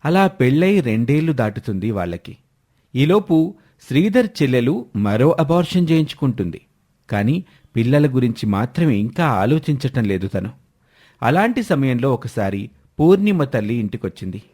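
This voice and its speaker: A man's voice: mid-range voice, very animated, normal speed, warm tone. A man reading scripted narration for a story as the narrator.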